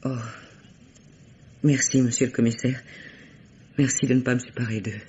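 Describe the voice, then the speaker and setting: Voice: mid-range voice, fairly even intonation, moderate pace, warm tone, natural voice. A performer playing a deferential yet unrepentant woman suspect, earnest and respectful, in a radio mystery. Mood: grateful, relieved. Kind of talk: vintage French radio mystery acting. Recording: dull, noisy old radio recording.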